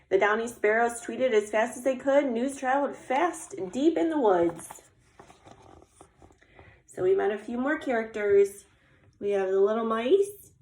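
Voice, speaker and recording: A woman's voice: fairly high pitch, a little mellow. A woman teacher reading a children's story aloud expressively and explaining it simply with questions. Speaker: kindergarten teacher. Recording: echoey room.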